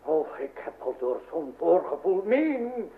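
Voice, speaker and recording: A man's voice: fairly high pitch, very animated, measured pace. An actor playing an anxious, blustering middle-aged father in an old radio comedy, stammering and repeating himself in agitation. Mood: fretful. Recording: noisy, dull vintage radio recording.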